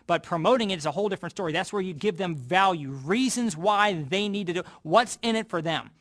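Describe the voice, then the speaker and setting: Male sales trainer, higher-pitched voice, rapid delivery, emphatic motivational coaching with repeated key phrases. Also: animated, a bit bright. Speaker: sales team leader and trainer.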